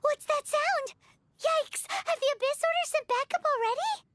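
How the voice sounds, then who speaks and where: A woman voicing a tiny, cheeky, childlike fairy companion in a video game, excitable and squeaky. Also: normal speed. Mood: alarmed. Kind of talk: game voice acting.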